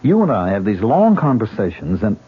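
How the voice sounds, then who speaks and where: An actor playing a tormented man who hears ghost voices in an old radio drama, agitated and husky. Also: lowish voice, brisk, a little hiss or hum. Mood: tense. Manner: agitated, swinging between calm and outbursts. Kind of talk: 1970s radio drama acting. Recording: muffled lo-fi old radio broadcast.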